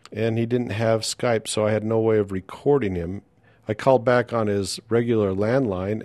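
A man with a fairly low voice hosts a podcast in a casual, chatty way. He speaks informally and directly to his listeners, and the sound is dry and close. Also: a little mellow, no room sound. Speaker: podcast host and interviewer. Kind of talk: casual podcast talk, reading listener reviews and announcements.

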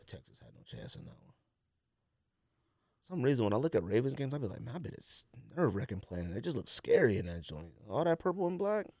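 An American man talks casually as a sports podcast co-host. His pitch swings widely as he makes opinionated, slangy points in an animated manner. The sound is close and dry, with very little room. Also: dry recording.

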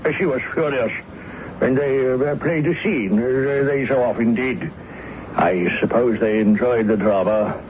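A male actor plays a loyal, nervous manservant with a medium-pitched voice. He is formally polite and deferential, hesitating and apologising as he goes. It is radio drama with a dull sound and faint noise. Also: a little slow, a little mellow, natural voice. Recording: muffled old radio broadcast, faint noise.

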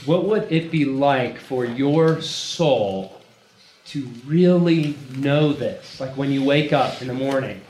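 A man's voice: medium pitch, slow pace, darkish tone. A man preaching in an informal, conversational way to a live group, emphatic and repeating phrases for weight. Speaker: preacher leading a teaching session. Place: room, speaking live to a gathering. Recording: echoey room with background noise.

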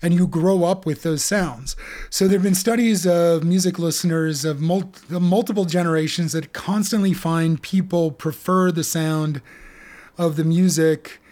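A man with a slightly raised voice talks through ideas in an interview. His delivery is unhurried and thoughtful, full of ums and uhs, and he builds his points as he goes. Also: on the high side, fairly even intonation, darkish tone. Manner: thoughtful, explanatory, with many fillers. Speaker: author being interviewed. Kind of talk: academic conversation.

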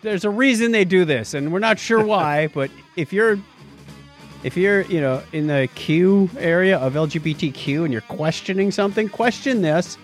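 Lively, higher-pitched male podcast host. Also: normal speed, soft background music. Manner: jokey, informal asides. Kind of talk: casual podcast chat.

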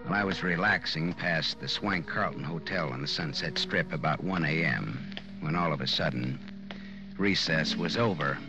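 Low-voiced hard-boiled radio detective. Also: male voice, moderate pace. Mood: wry, moody. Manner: sardonic, slangy, wisecracking. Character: hard-boiled wisecracking male private detective narrator. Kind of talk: 1940s radio noir acting, first-person narration. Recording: dull old-time radio recording, loud music.

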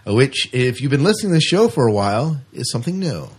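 A man's voice: medium pitch, big pitch swings, fast, breathy edge. A man co-hosting a podcast in casual, chatty banter, with a jokey way of talking. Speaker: podcast co-host.

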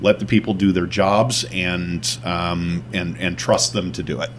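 A man co-hosting a casual podcast, reading listener questions with a joking, measured delivery. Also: sits low, steady tone, a little hiss or hum.

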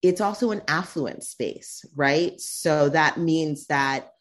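A woman speaking as a guest in a conversational interview, often tagging her points with "right?" and "you know". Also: slow. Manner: animated, pitch jumps when quoting others.

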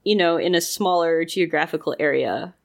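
Woman, lowish voice, casual co-host, thoughtful short remarks. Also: moderate pace, gravelly. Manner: frequent agreeing murmurs, adds short thoughtful points. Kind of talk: casual educational podcast chat.

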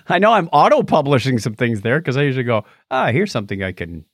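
A man hosts a casual podcast with very animated, swinging intonation. He is playful as he talks through news items. The sound is dry and free of room echo. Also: medium pitch, fast talker. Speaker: podcast host. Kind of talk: casual news banter on a live-streamed podcast.

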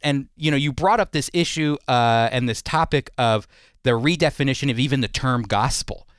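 A man's voice: medium pitch, moderate pace, smooth, no echo, clean studio sound. A man hosting a program, talking through issues in a conversational interview style.

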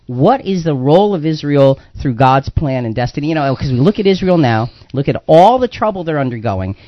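A man's voice: on the high side, lively intonation, a little fast, no echo. A man hosting a religious talk show in a conversational, explanatory way, repeating phrases for emphasis. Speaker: radio show host. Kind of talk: live religious talk radio discussion. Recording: dull lo-fi radio audio.

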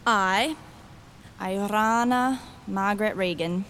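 A sardonic, irreverent young queen voiced by an actress talks bluntly and casually in a fantasy audio drama. Her voice sits at a middle pitch over faint background noise. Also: slow, fairly bright tone. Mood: awkward, cheeky. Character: brash, foul-mouthed, irreverent young woman turned queen.

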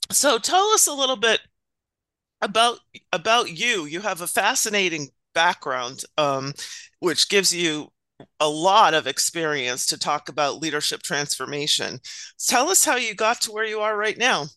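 Interview host, friendly halting questions, mid pitch, dry close sound. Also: lively intonation, sharp tone, no room sound. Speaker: host, change management professional.

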